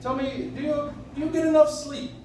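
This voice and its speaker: An actor playing a kindly, sharp-witted old man who claims to be Santa, in live 1940s-style radio acting, polite and gently probing. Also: very high-pitched, sing-song, fairly quick. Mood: teasing, curious. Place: coffee shop stage, recorded from the audience. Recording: echoey distant audience recording, clear noise.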